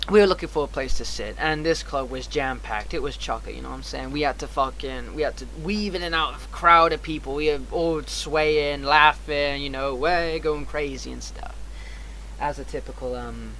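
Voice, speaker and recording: Bright, high-pitched man telling a rambling story. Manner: rambling, animated retelling, acts out dialogue, swears often. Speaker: streamer. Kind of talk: casual anecdote storytelling on a livestream. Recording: clearly noisy background.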